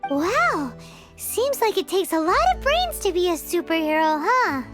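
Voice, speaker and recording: A woman voicing a tiny, excitable childlike fairy girl in a video game, squeaky and chirpy. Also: unhurried, soft background music, heavily acted. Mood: excited.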